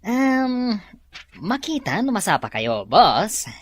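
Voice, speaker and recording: A man's voice: sits high, wildly swooping pitch, slow pace, no room sound, heavily acted. A man with a Filipino accent playing a sly, hesitant, teasing errand sidekick to a boss in a radio drama. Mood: nervous teasing. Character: sly, giggly male errand sidekick deferring to his boss.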